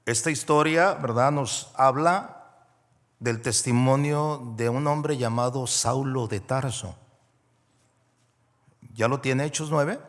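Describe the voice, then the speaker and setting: A man from Mexico preaching a sermon as a guest pastor, moving between hushed storytelling and loud, rising preaching. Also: mid-range voice, slow pace, long pauses. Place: large church auditorium. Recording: long hall reverb.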